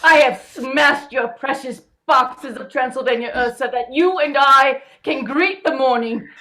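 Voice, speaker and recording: A vengeful, scorned vampire bride played by a woman in old-time radio style. The voice is high and the lines come very slowly, with dramatic deliberation. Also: pushed and bright. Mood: vengeful, bitter.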